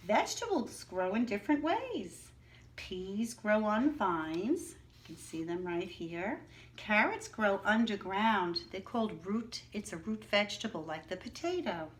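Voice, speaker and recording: A woman teacher reading a picture book aloud to young children, stopping to explain words and ask the class questions. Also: mid-range voice. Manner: slow, clear, expressive teacher voice, asking the class questions. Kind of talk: children's story read-aloud with teaching asides.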